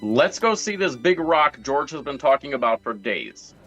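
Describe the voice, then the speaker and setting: A man's voice: medium pitch, expressive, normal speed, fairly bright tone, soft background music. An actor playing a bossy, exasperated man leading a tour group in a 1940s-style radio comedy. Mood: wry, bossy.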